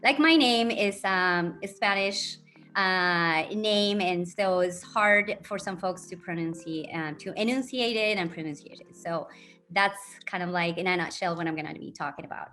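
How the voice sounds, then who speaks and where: A woman's voice: lowish voice, moderate pace, bright, forceful tone, faint music behind. Middle-aged woman. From Colombia. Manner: earnest, reflective, hesitant with many ums. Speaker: university diversity officer, guest. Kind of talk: guest telling her story and giving advice.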